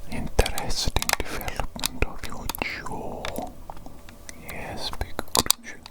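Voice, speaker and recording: A woman voicing a fussy, gleeful evil doctor in a whispered ASMR roleplay, softly repeating her phrases. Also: takes it slowly, lightly acted. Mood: musing.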